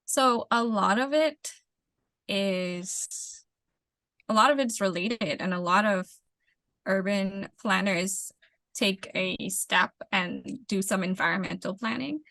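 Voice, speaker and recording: A young woman with a bright, forward voice and a gravelly fry at the ends of phrases. She answers interview questions about her work in a measured, explanatory way, circling back on words while she thinks. The sound is dry, with little sense of a room. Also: mid-range voice, dry recording. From the Bahamas. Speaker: interview guest, urban planner.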